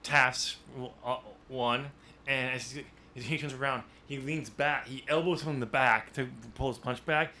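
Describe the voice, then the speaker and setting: A man hosting a podcast in casual, unscripted discussion, talking in a halting, rambling way as he thinks aloud. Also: medium pitch, fairly even intonation, pushed and bright, slight background noise. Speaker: podcast host and amateur novelist.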